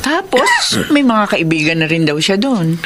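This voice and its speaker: An actress playing a devoted middle-aged mother from the provinces in a radio drama, homely and expressive. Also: mid-range voice, normal speed, a little hiss or hum, natural voice. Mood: fond, wistful.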